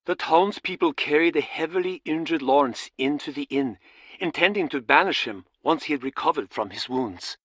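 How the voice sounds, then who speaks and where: Dramatic, higher-voiced male storyteller. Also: animated, a bit bright, no echo. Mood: solemn, dramatic. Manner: measured, dramatic, solemn. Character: male storyteller solemnly recounting a town's old legend.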